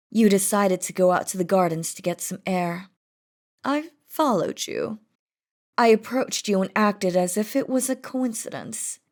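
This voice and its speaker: A woman's voice: mid-range voice, natural voice. A woman voicing an imperious, possessive, lovestruck demon queen in a scripted roleplay audio drama, with a haughty, theatrical delivery. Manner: haughty, theatrical, slow and deliberate.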